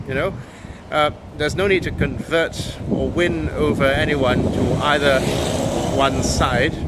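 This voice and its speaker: A man from Penang, Malaysia, giving an earnest opinion monologue as host of his video channel, often pausing with fillers. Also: on the high side, heavy background noise. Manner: earnest, hesitant with frequent fillers.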